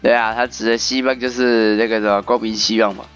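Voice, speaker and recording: A man's voice: fairly low pitch, level tone, fast, fairly bright tone, clear, resonant tone, dull audio, soft background music. A man doing casual playthrough commentary, narrating the story and reacting with exclamations. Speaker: gaming video commentator.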